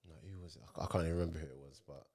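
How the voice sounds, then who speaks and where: A young man hosting a football podcast, his voice low and deep. He talks in a laid-back, drawling way, close to the mic. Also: steady tone, very fast, a little mellow. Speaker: podcast host. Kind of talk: casual football podcast chat.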